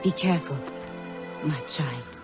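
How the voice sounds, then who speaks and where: Sorrowful middle-aged mother: low, tender, formal, game drama, music behind. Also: slow. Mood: tender, resigned. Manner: solemn, formal phrasing. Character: tender, sorrowful long-absent mother, freed woman in exile. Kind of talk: dramatic video game cutscene acting. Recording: background music.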